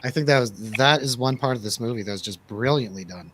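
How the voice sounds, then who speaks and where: A man's voice: medium pitch, fast, a bit bright, slight background noise. A man in casual movie review conversation, talking in an enthusiastic, slangy way. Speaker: film review show host.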